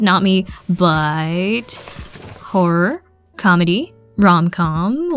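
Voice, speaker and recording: A woman narrates a fan-made audio drama and speaks the listener's lines herself. She talks slowly, stumbling over words with nervous little laughs. Soft music runs quietly in the background. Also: medium pitch. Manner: stammering, laughing self-consciously.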